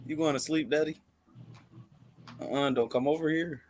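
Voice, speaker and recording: A man's voice: on the high side, steady tone, moderate pace. A man in casual, slangy banter with the others on a video call. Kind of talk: casual chat. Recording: video call with clear background noise.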